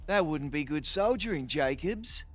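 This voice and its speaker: Lively, blunt Allied soldier. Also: male voice, fairly high pitch, unhurried. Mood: insistent. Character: blunt, eager, rough-edged Allied soldier. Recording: faint outdoor battlefield noise.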